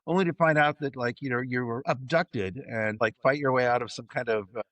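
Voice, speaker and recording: A man's voice: mid-range voice, a little fast, a bit bright, no room sound. A man chatting on a podcast panel in a wry, mock-serious manner. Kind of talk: casual chat.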